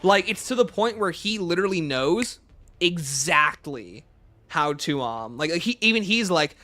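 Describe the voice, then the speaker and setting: A man's voice: fairly high pitch, expressive, measured pace, bright, forceful tone. A man hosting a livestream, chatting casually as he takes viewer questions and answers them offhand. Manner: reads questions aloud, then answers offhand. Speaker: livestream host.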